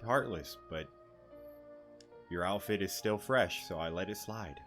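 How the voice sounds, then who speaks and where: A man's voice: sits low, unhurried, a bit bright. A man giving casual, half-joking live commentary over a video game, informal and prone to swearing. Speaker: gaming streamer. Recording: game music behind the voice.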